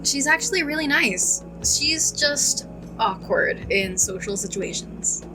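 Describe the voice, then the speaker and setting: Playful high-voiced woman gamer. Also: expressive, slow pace, low-quality recording. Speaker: gaming streamer. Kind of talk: casual playthrough commentary, reading dialogue choices aloud. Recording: game music behind the voice.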